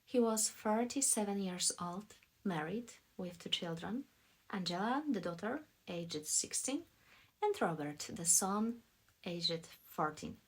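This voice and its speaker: A woman from Poland reading scripted audiobook narration as a voice actress, in a soft, deliberate storytelling manner. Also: fairly low pitch, lively intonation, slightly rough, low-quality recording.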